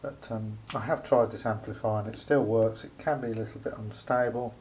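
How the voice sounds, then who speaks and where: A man giving a casual, unscripted hands-on demonstration as a hobbyist, speaking haltingly with pauses in mid-phrase. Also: fairly low pitch, fairly even intonation, darkish tone, rough recording. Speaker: hobbyist showing a homemade radio aerial project. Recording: faint background noise, amateur camera recording.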